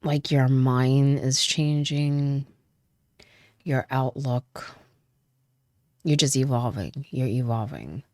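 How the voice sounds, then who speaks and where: A woman giving a casual tarot reading, chatty, with frequent fillers, trailing pauses and light laughs, and sounding stuffy from a cold. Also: very deep voice, a little mellow, no room sound, clean studio sound. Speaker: tarot reader.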